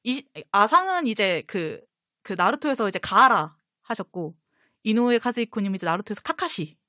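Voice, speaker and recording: A woman's voice: mid-range voice, lively intonation, moderate pace, sharp tone, no room sound, studio quality. A woman chatting casually and enthusiastically as a fan guest in an interview.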